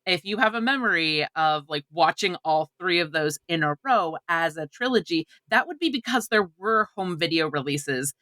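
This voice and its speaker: A woman's voice: lowish voice, lively intonation, moderate pace, few pauses, bright, forceful tone, no echo. A woman co-hosting a podcast in casual panel chat, opinionated and emphatic in how she talks. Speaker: podcast co-host. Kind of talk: casual panel chat about film adaptations.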